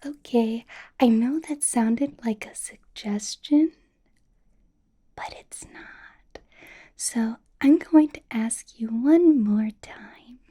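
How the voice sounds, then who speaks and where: A woman playing a doting, possessive and sweetly menacing female vampire boss in a whispered ASMR roleplay, with slow, breathy delivery. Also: a little high. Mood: controlling, sweetly menacing. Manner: breathy, slow whispering with soft little laughs.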